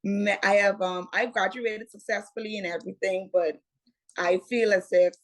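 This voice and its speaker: A Bahamian woman in her twenties, a guest telling her story in a casual, unhurried interview. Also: medium pitch, fairly even intonation. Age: 20s. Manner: unhurried, repeats "what had happened was".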